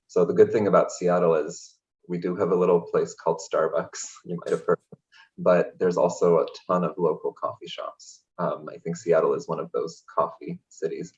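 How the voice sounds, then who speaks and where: A man from Hungary, a guest in an interview, speaking in a relaxed, chatty way with many fillers over a video call. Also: deep voice, moderate pace, lo-fi sound. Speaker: interview guest, language access programs director. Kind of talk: casual interview conversation.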